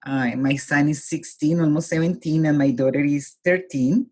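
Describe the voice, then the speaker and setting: A Colombian-born woman in her forties, a guest with a husky voice, talking reflectively in a casual interview and laughing easily. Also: low voice, steady tone, moderate pace, darkish tone.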